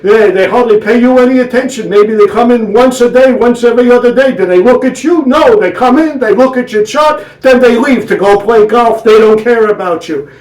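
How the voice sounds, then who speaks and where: A man doing a shrill old kvetching wife. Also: brisk, few pauses, a little mellow, close to an everyday voice. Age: older. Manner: shrill, dramatic, whining. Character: elderly complaining wife, endlessly kvetching. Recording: roomy, from afar.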